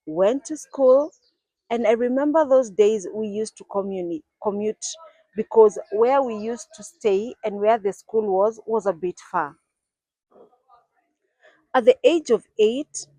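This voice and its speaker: A woman's voice: fairly high pitch, mellow, no room sound, rough recording. A Kenyan woman in her forties, earnestly telling her own life story to her channel's viewers. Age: 40s. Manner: earnest, reflective, with occasional restarts. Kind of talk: personal storytelling to camera.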